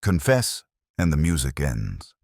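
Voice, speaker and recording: A man acts out a retired schoolmaster in a mystery audiobook, resigned and earnest. His baritone is low but animated, as he confesses with anxious guilt. The sound is dry and close. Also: a little slow, creaky, slightly rough, no echo, exaggerated voice. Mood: anxious pleading.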